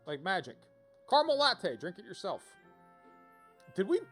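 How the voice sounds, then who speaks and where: A man with a fairly high voice chats through a game on a livestream. He rambles casually, thinking aloud, with music playing behind him. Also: wildly swooping pitch, moderate pace, fairly bright tone. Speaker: game streamer.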